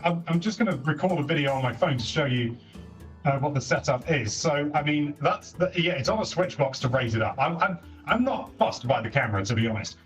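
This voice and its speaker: A man chatting casually over a voice call at home, picked up by a console's built-in microphone from across the room; his voice carries and he often corrects himself mid-sentence. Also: on the high side. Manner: chatty, self-correcting, says "don't get me wrong" often. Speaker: co-host testing a console feature. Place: home, moving between rooms. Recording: distant voice over game chat with music.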